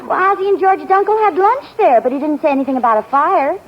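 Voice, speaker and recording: A woman's voice: sits high, expressive, quick pace, background noise. A woman acting an inquisitive, persistent wife in a 1940s radio sitcom. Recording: muffled old radio broadcast with audience.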